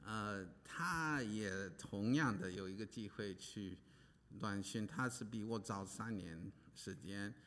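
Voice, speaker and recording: Man preaching, halting, fillers, slow, long hall reverb. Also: lowish voice, fairly bright tone. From Hawaii. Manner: halting, many pauses and fillers. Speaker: pastor. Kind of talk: preaching with interpreter.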